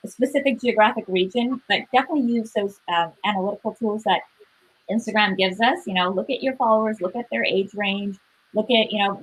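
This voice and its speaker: A woman's voice: medium pitch, husky, low-quality recording. A woman, speaking as a panelist and giving advice in a conversational style. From born in Sri Lanka, moved away at five to grow up in Canada. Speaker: panelist, influencer.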